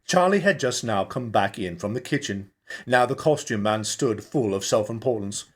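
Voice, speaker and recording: A man reading a novel aloud as narrator, in an expressive, theatrical storytelling style. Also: on the low side, moderate pace, slightly rough. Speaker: audiobook narrator, actor. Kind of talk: dramatic scripted novel narration.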